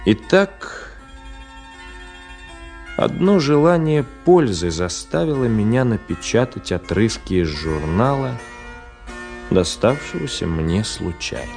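An actor playing a reflective author who narrates a radio play in a measured, literary manner. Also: male voice, lowish voice, big pitch swings, gravelly, darkish tone, faint music behind, full character voice.

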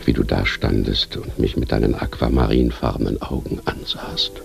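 A wistful man lost in longing in a radio drama. His voice is extremely deep and full of creak, hushed and lyrical. Plucked guitar plays behind him. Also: steady tone, normal speed, exaggerated voice. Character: lovesick man brooding on lost beloved, wistful. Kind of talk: poetic radio drama monologue. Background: plucked guitar music.

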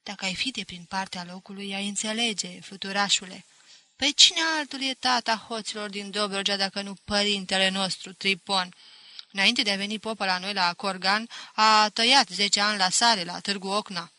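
A woman's voice: mid-range voice, steady tone, sharp tone, no echo, natural voice, rough recording. A woman voicing a knowing, gossipy village woman in an audiobook, confiding scandal in a sly, emphatic way. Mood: confiding, gleeful. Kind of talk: audiobook character acting.

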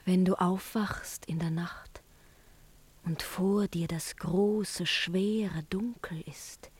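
A seductive witch and jilted lover in a verse radio drama. Her voice is mellow and husky with much breath, often slipping into whispers. She speaks slowly, with yearning, incantatory weight, in a dry close recording. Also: mid-range voice, dry recording. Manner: slow, incantatory, yearning delivery.

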